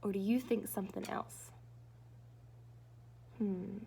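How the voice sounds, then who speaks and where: A woman's voice: mid-range voice, steady tone, slow pace, vocal fry, mellow, a little hiss or hum. A woman reading a storybook aloud as a teacher to children, expressively and dramatically, coaxing them with questions.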